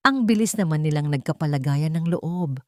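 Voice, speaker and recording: Worried dad in a romantic audio story, read by a woman: doubtful, questioning, expressive pitch movement. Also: moderate pace, dry recording, studio quality. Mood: casual. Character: doubting, worried well-off father in a romantic drama.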